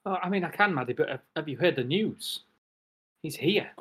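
A man's voice: on the high side, animated, normal speed, sharp tone, husky, full character voice. A man playing a gossipy warehouse worker in an improv scene, talking in a conspiratorial way. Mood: excited.

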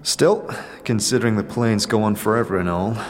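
Man voicing a gruff, low, rueful working bloke. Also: normal speed, lightly acted. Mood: resigned, wry. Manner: slangy, plain-spoken, resigned. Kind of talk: fantasy audio drama acting.

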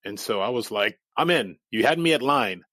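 A man's voice: medium pitch, expressive, normal speed, sharp tone, a little hiss or hum. Candid man telling his life story. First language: Japanese. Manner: candid, reflective, laughs easily. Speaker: guest. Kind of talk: casual interview storytelling.

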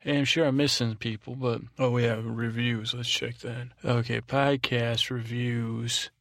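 A man's voice: medium pitch, a little slow, dry recording, studio quality. A man hosting a bedtime podcast in a soothing, soporific drone, meandering and stumbling through his monologue as he interrupts himself. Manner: droning, monotone. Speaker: podcast host.

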